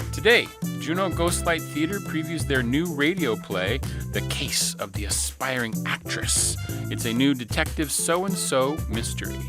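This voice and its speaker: A man hosting a live radio show from a studio, speaking in an upbeat presenter style. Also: mid-range voice. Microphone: studio microphone.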